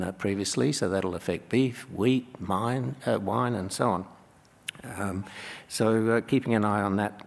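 An older man speaking on a conference panel, measured and hesitant, pausing often on fillers, into a table microphone. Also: lowish voice, gravelly. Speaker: panellist, economics professor. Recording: long hall reverb.

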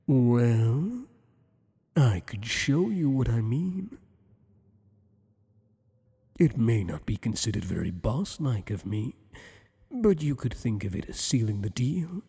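A man's voice: mid-range voice, sing-song, vocal fry, dark tone, slightly rough, long reverb tail, heavily acted. A man voicing a teasing, possessive monster boss. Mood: flirtatious. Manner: teasing, flirtatious, slow and intimate. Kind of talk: ASMR roleplay.

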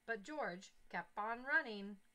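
A woman in her thirties reads a picture book aloud to young children, with expressive, deliberate phrasing, on a headset mic. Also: mid-range voice. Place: small indoor classroom-style corner. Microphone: headset boom mic.

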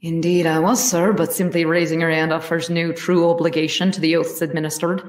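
A woman's voice: deep voice, little pitch movement, a little fast, natural voice. A woman acting a plain-spoken, devout soldier's wife testifying firmly and formally in a historical courtroom drama. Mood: firm, earnest. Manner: firm, formal, period phrasing. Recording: roomy echo, distant mic.

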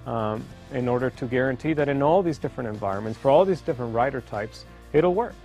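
A man's voice: medium pitch, expressive, fast talker, a little mellow. A man explaining technical work in an unscripted interview, hesitant and searching for words. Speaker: engineer interviewed about product testing. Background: faint background music.

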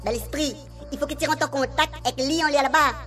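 Excitable squeaky medium, réunion creole accent, pushy, comedy radio play with music behind. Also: male voice, quick pace. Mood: insistent. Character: excitable male medium pestering a spirit for information. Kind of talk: comic radio serial acting. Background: music under the voice.

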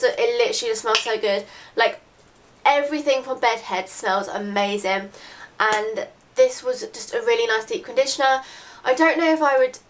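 A slightly high-voiced girl in her late teens, talking to her camera from her bedroom. She sounds bunged up from a recent cold. She rambles in a friendly way, full of "like" and "really, really". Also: a bit bright, slight background noise. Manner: chatty, rambling, lots of "like" and "really, really". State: congested from a cold. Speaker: beauty vlogger. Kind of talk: casual chat to camera. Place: bedroom, indoors. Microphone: camera in front at desk distance.

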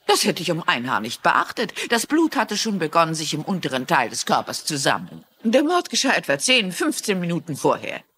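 A woman's voice: lowish voice, huge pitch swings, a little fast, few pauses, a bit bright, husky, full character voice. An actress playing a shrewd, polite older woman amateur sleuth in a German-dubbed TV mystery, precise and probing. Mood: firm, reasoning.

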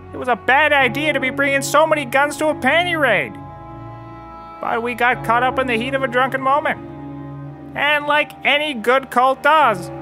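An actor playing a self-serious, bumbling cult brother in a comedy audio drama, with mock-solemn, overwrought delivery. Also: male voice, very high voice.

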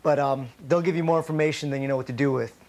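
A man's voice: on the high side, steady tone, racing, a little mellow, natural voice. An actor in his thirties playing a slick, brash investment manager who is evasive under pressure, in a corporate training-film drama. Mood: smooth, placating. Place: indoor office.

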